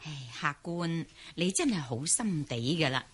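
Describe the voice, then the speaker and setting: A woman's voice: sits low, lively intonation, unhurried, heavy background noise. A woman playing a middle-aged, talkative village tea seller and mother in a radio drama, folksy and deferential. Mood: relieved, grateful. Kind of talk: Cantonese radio drama acting.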